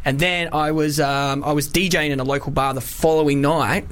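A man's voice: on the high side, steady tone, brisk, fairly bright tone, clear, resonant tone. A man from Wales with a Welsh accent, hosting a casual chat and telling rambling anecdotes with many ums. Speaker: host.

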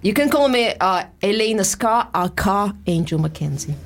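A young adult woman from Russia with a Russian accent, talking in a casual interview in halting, broken English with frequent restarts. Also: medium pitch, expressive. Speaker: guest, boxer and musician.

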